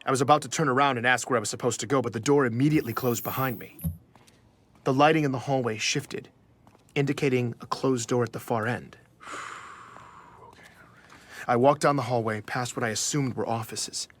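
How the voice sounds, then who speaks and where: A man's voice: medium pitch, fairly even intonation, lightly acted. Nervous journalist-narrator, played by a man, mid register, self-conscious, for a sci-fi audio drama. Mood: measured, uneasy. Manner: measured narration, hesitant in dialogue. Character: nervous male investigative journalist narrating his own story. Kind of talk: scripted first-person audio drama narration.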